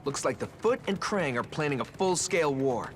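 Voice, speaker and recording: Man playing a lively, earnest turtle hero. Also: on the high side, normal speed, slight background noise, close to an everyday voice. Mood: stern. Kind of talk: video game cartoon voice acting.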